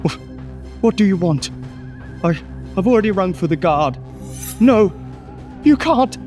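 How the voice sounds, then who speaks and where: A man's voice: sits high, unhurried, faint music behind. An actor playing an urgent, alarmed man in a crime audio comic. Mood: panicked. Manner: urgent, excitable.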